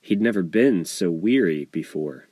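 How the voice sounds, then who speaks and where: Male author-narrator for rhyming kids' picture book. Lowish voice, lively pitch swings, unhurried, expressive. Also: husky, no room sound. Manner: expressive, measured storytelling delivery. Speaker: author reading his children's book aloud. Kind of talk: scripted storybook narration in rhyme.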